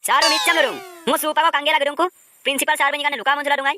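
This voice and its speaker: Someone doing a squeaky cartoon kid tattling to teacher, talks fast, excitable. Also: noisy background, lightly acted. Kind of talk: cartoon comedy dialogue.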